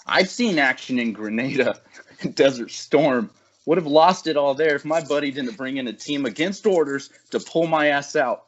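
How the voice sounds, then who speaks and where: A man's voice: medium pitch, normal speed, highs cut off, lightly acted. A man playing a weary, griping veteran soldier in an amateur table read of a horror film script.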